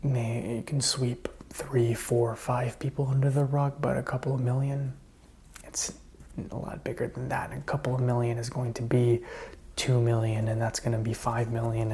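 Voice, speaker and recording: Male actor as lonely, soothing zombie apocalypse survivor for ASMR roleplay: hushed, hesitant, mid-pitched, even delivery. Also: slight background noise, close to an everyday voice. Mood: grim, hushed. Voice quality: soft, breathy, often whispered. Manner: slow, hesitant, rambling, soothing. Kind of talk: ASMR roleplay, soft speaking and whispering.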